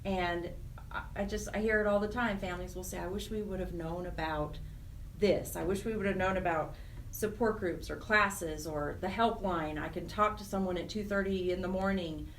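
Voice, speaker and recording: A woman with a fairly low voice answers interview questions as a nonprofit program director. She is earnest and emphatic, often repeating words for stress. She sits a little off the mic, with faint noise behind her. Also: steady tone, moderate pace, recorded from a distance, poor audio.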